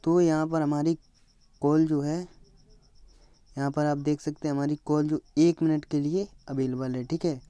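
A young Indian man giving a step-by-step phone app tutorial as a voice-over, halting when he reads English aloud. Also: a little high, little pitch movement, warm tone, smooth, dry recording. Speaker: tutorial host. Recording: slightly dull, lo-fi, dry close recording.

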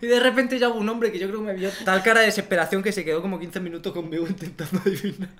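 Un hombre joven de Almería con acento andaluz, copresentador de un pódcast, cuenta anécdotas de forma animada, riendo e imitando voces, en un estudio pequeño con micrófono de mesa. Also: male voice, high voice, racing. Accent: Andalusian Spanish. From Almería, Spain. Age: young adult. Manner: animated, laughing often, acting out quotes. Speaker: podcast co-host. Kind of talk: casual storytelling chat. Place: small studio room at a desk. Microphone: desk microphone on stand.

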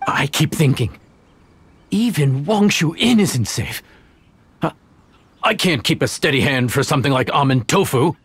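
Husky, frightened chef. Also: male voice, fairly high pitch, animated, fairly bright tone, dry recording, exaggerated voice. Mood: nervous. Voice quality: husky, breathy. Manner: halting, shaky, fearful. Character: intimidating male chef, ex-bandit, cowardly and easily spooked. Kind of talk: video game acting.

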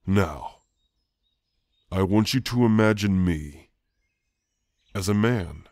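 Casting: male actor as soothing male shade demon for ASMR roleplay, deep and unhurried, soft halting delivery. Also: no echo. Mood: gently coaxing. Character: gentle male shadowy sleep demon, a shade. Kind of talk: ASMR roleplay, spoken to the listener.

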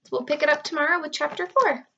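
A woman's voice: sits high, fast, vocal fry, a bit bright, lo-fi sound, a little hiss or hum. A woman, a teacher casually reading a storybook aloud to children. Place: small furnished room at home, indoors.

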